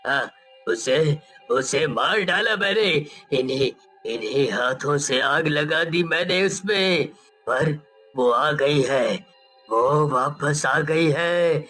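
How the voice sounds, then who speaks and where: A man's voice: a little high, expressive, slow pace, bright, forceful tone, faint music behind, full character voice. A man playing a guilt-maddened, terrified old father in a story cartoon, pleading and repeating broken phrases. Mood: crazed fear.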